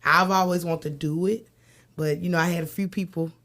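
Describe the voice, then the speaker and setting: A woman chatting casually as a guest in a group interview, telling things in a conversational, animated way. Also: fairly low pitch, brisk. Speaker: guest, singer and new stage actor.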